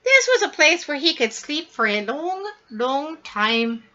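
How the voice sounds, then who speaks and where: A woman reading a children's story aloud in an expressive, dramatic way, drawing out words for emphasis. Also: high voice, unhurried, lo-fi sound. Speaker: storybook reader.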